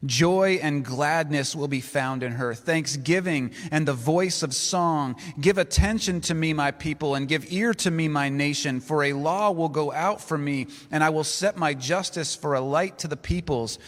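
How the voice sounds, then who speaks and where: A man preaching a sermon, reading scripture aloud in a slow, deliberate way. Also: fairly high pitch. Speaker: pastor. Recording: long reverb of a large hall.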